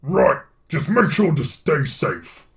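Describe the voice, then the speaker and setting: A man voicing a gruff, sarcastic alien symbiote antihero in a story, grudging and sardonic. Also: fairly high pitch, big pitch swings, slow pace, fairly bright tone, far from the mic, slight background noise, pitched above the performer's own voice, heavily acted. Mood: urgent.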